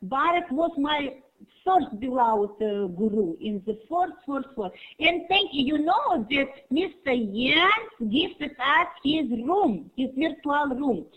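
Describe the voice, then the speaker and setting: An older woman whose first language is German, a human resources teacher, speaking effusive, rambling, halting English in an online conference chat. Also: sits high, big pitch swings, fairly bright tone. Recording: tinny phone-like online call audio.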